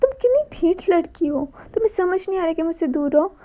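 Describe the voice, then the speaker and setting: A woman's voice: very high voice, expressive, brisk, dark tone, voice pushed up. A woman voicing a cold, irritable young man who scolds curtly. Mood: angry. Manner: curt, scolding.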